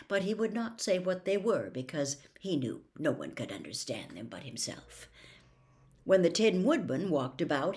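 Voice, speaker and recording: A woman in her sixties reading a classic story aloud at home, soft and expressive, close to a webcam. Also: fairly low pitch. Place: home room with bookshelves.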